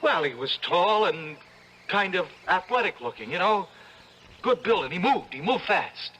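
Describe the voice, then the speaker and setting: A man's voice: high-pitched, big pitch swings, measured pace, sharp tone, slight background noise, heavily acted, rough recording. An actor playing a nervous, desperate accused man with slangy, insistent, stammering talk in old-time radio drama style. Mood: earnest. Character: nervous, desperate accused man, rough working-class talker.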